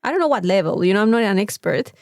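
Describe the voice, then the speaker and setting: A woman's voice: mid-range voice, lively intonation, quick pace, a little mellow, dry recording, clean studio sound. A woman with a Mexican accent, co-hosting a casual podcast chat in an informal, rambling, sweary way. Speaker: podcast co-host.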